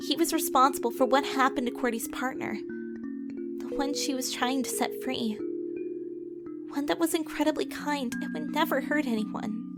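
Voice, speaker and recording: Woman voicing a young heroine, high pitch, earnest, solemn first-person narration, loud musical backing. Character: young, wounded, earnest heroine recounting her past. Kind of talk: scripted first-person audio drama narration.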